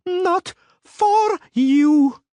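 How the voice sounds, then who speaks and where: A man doing a shrill scornful mermaid. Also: expressive, slow pace, darkish tone, dry recording, exaggerated voice. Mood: shrieking. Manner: trilling, high and scornful. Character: haughty shrill young female mermaid.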